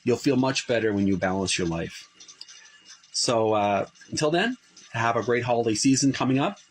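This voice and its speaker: Halting man reading from a book. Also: mid-range voice, expressive, slightly rough. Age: adult who played tape games in school. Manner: halting, many fillers and restarts. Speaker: channel host reading from a book. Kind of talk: casual book reading and commentary.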